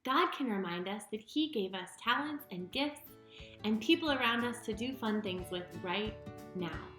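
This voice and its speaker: A woman reading a children's story in an expressive, deliberate way, speaking directly to her young listeners. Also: mid-range voice, faint music behind. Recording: roomy, echoing sound.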